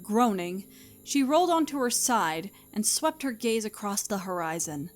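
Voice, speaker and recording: A woman reading scripted story narration as the narrator, in an expressive storytelling manner. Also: medium pitch, moderate pace, faint music behind.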